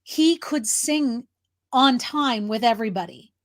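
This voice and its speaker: A woman's voice: on the high side, unhurried. A woman chatting casually as a co-host, telling personal stories in an emphatic way. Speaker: talk show co-host. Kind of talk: casual anecdotal chat.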